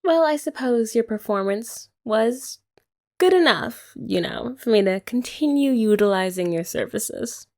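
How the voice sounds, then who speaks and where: A young woman doing a soft, teasing, affectionate ASMR girlfriend roleplay, often laughing, close to the mic. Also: fairly high pitch, expressive, unhurried.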